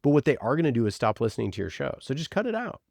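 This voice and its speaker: A man's voice: mid-range voice, big pitch swings, rapid-fire, vocal fry, a little mellow, no echo, clean studio sound. A man talking casually as an interview guest and podcast producer, giving emphatic, opinionated advice and acting out imagined exchanges.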